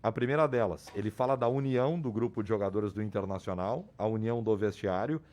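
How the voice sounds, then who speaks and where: A man with a hoarse voice, reporting club sports news on a radio show in an explanatory way. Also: lowish voice, fast talker, darkish tone. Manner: explanatory, restarts and repeats names. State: hoarse, losing his voice. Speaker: sports commentator.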